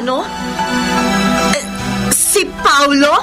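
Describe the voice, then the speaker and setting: A woman's voice: high-pitched, extremely slow, over loud music. A woman playing an anxious, defensive young mother in a Filipino radio soap, dramatic and prone to stammering, with a Filipino accent. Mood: shocked. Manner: dramatic, stammering when startled.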